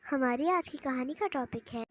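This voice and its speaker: A woman's voice: high voice, normal speed. A woman hosting and narrating scripted stories for children. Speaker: storyteller host. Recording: dull sound with music bed.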